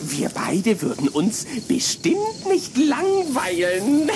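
Shrill, taunting teenage boy vampire. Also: huge pitch swings, background noise, exaggerated voice. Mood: sly coaxing. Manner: theatrical, taunting, drawn-out, cackling. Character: teenage boy vampire, mocking, unpredictable, gleefully menacing. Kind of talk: radio play acting for children.

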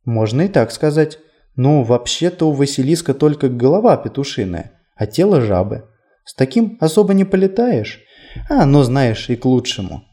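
A whimsical male city guide as a man reads him in an audiobook, chatting playfully and poking fun at himself. The voice is mellow and mid-pitched, with lively swings of intonation. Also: normal speed. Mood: playful, confiding. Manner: conversational, playful, self-deprecating. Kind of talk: audiobook character dialogue.

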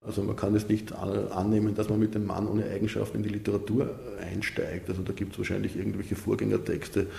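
A man's voice: lowish voice, little pitch movement, fast talker, creaky, few pauses, dark tone. A man with an Austrian accent giving wry, casual interview answers punctuated by short tag questions. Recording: roomy, echoing sound.

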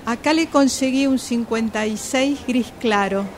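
A woman's voice: on the high side, unhurried, a little hiss or hum, close to an everyday voice. A woman with a Rioplatense Argentine accent playing a busy, obliging but muddled shop assistant in a radio play.

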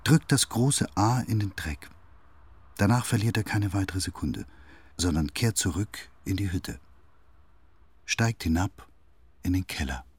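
An actor playing a sombre narrator of a dark tale in a literary radio drama, with a husky voice. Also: male voice, low-pitched, measured pace, pauses often, no echo.

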